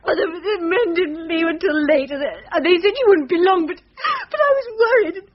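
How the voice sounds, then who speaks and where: Frightened wife, high-pitched voice. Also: female voice, very animated, normal speed. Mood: tearful, frightened. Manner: tremulous, pleading. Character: frightened, anxious wife haunted by a child's voice. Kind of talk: radio thriller drama acting. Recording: dull radio drama recording.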